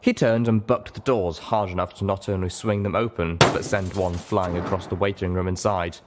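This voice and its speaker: A man's voice: low-pitched, steady tone, moderate pace, heavy background noise. A man reading fiction aloud as scripted audiobook narration. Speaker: reader, narrator.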